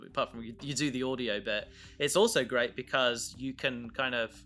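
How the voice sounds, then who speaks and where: A man's voice: medium pitch, fairly even intonation, moderate pace, pushed and bright. A man chatting casually as a podcast host about his creative work, rambling with enthusiasm and often trailing off mid-thought. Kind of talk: casual chat about making an audio drama.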